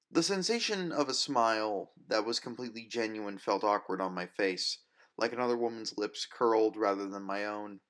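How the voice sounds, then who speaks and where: A man narrating first-person fiction as an audiobook reader, with a measured, wistful, literary delivery. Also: lowish voice, fairly bright tone.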